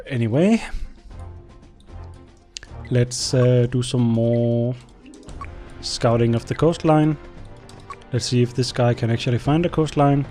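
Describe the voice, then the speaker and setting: Man, Danish native speaker, young adult, let's-play commentary, mellow tone, thinking aloud. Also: medium pitch. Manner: thinking aloud, rambling, talks to his units. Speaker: gameplay commentator.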